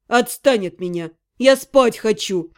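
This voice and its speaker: A drowsy young necromancer, grumbling in a low, gruff voice, put on by a woman reading a storybook aloud. He sounds tired and short-tempered, with a teasing edge. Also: measured pace, natural voice. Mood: grumpy. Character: sleepy grumpy young male necromancer.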